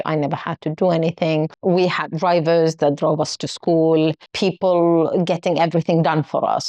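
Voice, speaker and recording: A woman's voice: fairly low pitch, a little mellow, no room sound. A woman from Finland who was raised in Kuwait, telling her life story in an interview, reflective and searching for her words.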